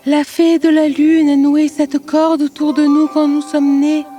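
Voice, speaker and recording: A woman's voice: high-pitched, flat delivery, a little slow, dark tone. A woman voicing a sweet, devoted young maiden in love in a fairy tale, with music behind her. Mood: tender. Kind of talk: fairy tale character acting.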